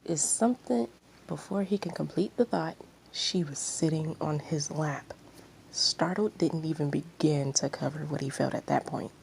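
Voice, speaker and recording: A woman reading fan fiction aloud as expressive narration, with faint background noise. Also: sits low, normal speed, creaky, low-quality recording.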